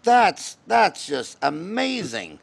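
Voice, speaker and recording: A man's voice: high voice, wildly swooping pitch, slow, vocal fry, slightly rough. A middle-aged American man hosting a casual interview in a folksy, rambling way, often restarting his questions. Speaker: podcast host, dentist.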